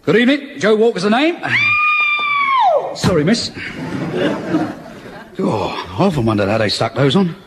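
A cheeky wartime spiv. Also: male voice, high voice, huge pitch swings, over loud music, a loud crowd. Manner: fast-talking, evasive, cheeky. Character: wheeling-dealing wartime spiv salesman.

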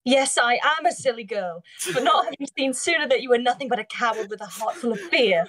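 A woman's voice: fairly high pitch, animated, brisk, fairly bright tone. A woman playing a proud, defiant young princess with emphatic, scornful delivery in an online table read. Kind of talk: table read acting over video call.

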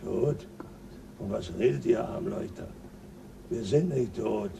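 An actor playing a gruff, dismissive male crewman in a radio play. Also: lowish voice, slow, noisy background, full character voice. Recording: distant from the mic.

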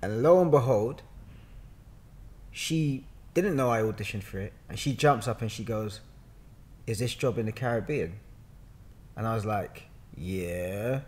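A man in his thirties with a London accent, chatting and telling stories in an animated, bantering way full of slang. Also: lowish voice, measured pace, pauses often. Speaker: podcast guest, actor. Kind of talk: casual conversational storytelling. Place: bright living room, on a sofa. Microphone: podcast mic on a boom arm.

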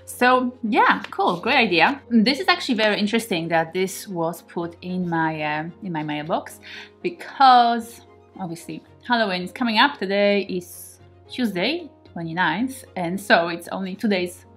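A Polish-born woman in her thirties, a native Polish speaker, chatting to the camera at home. She talks in a relaxed, reflective way and laughs easily. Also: mid-range voice, normal speed, faint music behind. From Poland. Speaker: vlogger. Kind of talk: casual talk to camera. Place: home kitchen and living room, indoors.

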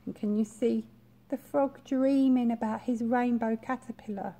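A young woman reading a picture book to small children, expressive and deliberate, with pauses. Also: fairly high pitch. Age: young adult. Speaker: reception class teacher. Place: small bright school classroom, indoors.

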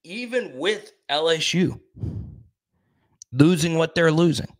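A man in his thirties hosting a casual live stream, talking into a studio mic in a small room, animated and quick to repeat himself while reading out chat comments. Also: on the high side. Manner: animated, repeats words, reads chat aloud. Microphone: studio mic on boom arm in front.

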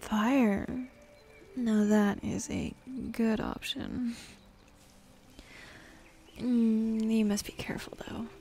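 A woman's voice: a little high, steady tone, drawn-out words, pauses often, dark tone. A woman voicing a bossy, teasing demon in an intimate ASMR roleplay, sardonic and drawling, easily bored, slipping into whispers. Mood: instructive, teasing. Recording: faint ambient forest background noise.

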